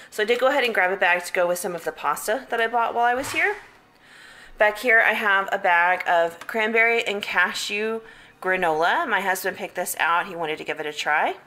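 Bright-voiced chatty woman vlogger. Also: mid-range voice. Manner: chatty, upbeat, repeats words for emphasis. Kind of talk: casual grocery haul chat. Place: home hallway and kitchen, indoors.

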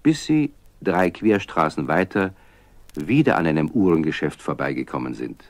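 A man's voice: rumbling low, lively intonation, normal speed. A man narrating a scripted children's story, talking to his young listeners as a storyteller. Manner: storyteller addressing young listeners with teasing questions.

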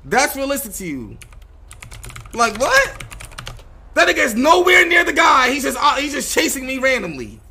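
A man's voice: extremely high pitch, big pitch swings, normal speed, gravelly, pauses often, very noisy. A man streaming a roleplay game, giving heated, slangy commentary in an exasperated, repetitive rant.

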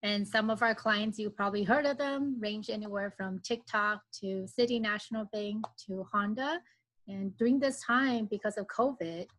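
A woman in her thirties joins a panel over a video call through an earbud headset. She sounds upbeat and chatty and drops "you know" often. Her voice has a middle pitch. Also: poor audio. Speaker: agency founder and panelist. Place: home office.

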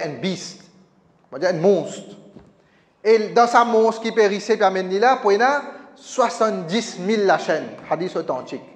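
A middle-aged man preaching a Friday sermon into a stand mic in a small mosque, speaking with a lilt and emphatic, repetitive phrasing. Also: high voice. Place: small mosque prayer hall, indoors. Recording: echoey hall with long reverb.

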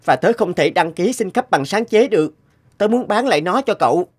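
A man's voice: high-pitched, lively intonation, fairly quick. An actor playing a humble, earnest sixteen-year-old enslaved boy inventor in a cartoon, polite and sincere. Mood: earnest, pleading. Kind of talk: cartoon dubbing.

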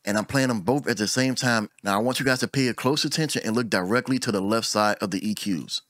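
Low-voiced man giving studio tips. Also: fast, no echo. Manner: relaxed, talks with his hands, repeats words as he goes. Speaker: audio engineer and channel host. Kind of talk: casual tutorial to camera. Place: small room at home. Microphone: desk mic on boom arm.

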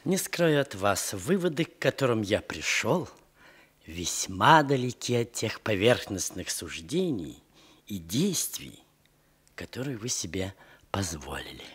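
An actor in a 1960s Soviet radio play playing a condescending, self-assured investigator from the capital, pompous and official. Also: male voice, lowish voice, sing-song, a bit bright, slightly rough, heavily acted. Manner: pompous, official, measured phrasing.

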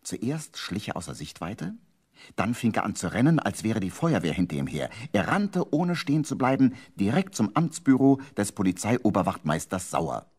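An actor as the storyteller of a children's radio play, narrating with playful suspense in a husky voice. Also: male voice, fairly low pitch, very animated, normal speed, a little mellow, exaggerated voice. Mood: suspenseful, playful.

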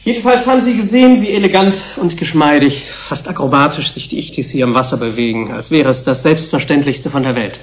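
A man's voice: fairly high pitch, brisk, hardly any pauses, close to an everyday voice. An actor playing a research scientist in a German science-fiction radio play, explaining and fond of the creatures he studied. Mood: explanatory. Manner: explanatory, presenting film footage. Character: male research scientist, fond of his genetically made fish-human creatures. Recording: faint background noise, 1980s radio drama.

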